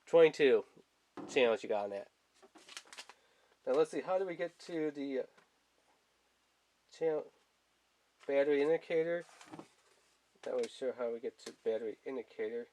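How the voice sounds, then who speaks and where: A man's voice: medium pitch, expressive, moderate pace, pauses often, a little mellow, a little hiss or hum. A man giving a casual, rambling product review in informal, colloquial language, speaking off screen indoors. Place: indoors at a tabletop.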